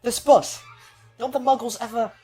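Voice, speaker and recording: A woman's voice: on the high side, fairly even intonation, normal speed, mellow, breathy edge. A woman playing a polite, wary teenage wizard boy in an audio drama, speaking a little hesitantly. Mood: curious, uneasy. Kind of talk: audio drama character acting. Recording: music under the voice.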